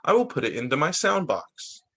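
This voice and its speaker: A man's voice: fairly high pitch, wildly swooping pitch, normal speed, fairly bright tone, breathy edge, heavily acted. A man voicing cheerful, eager little alphabet-letter characters from a children's storybook, with a simple delivery.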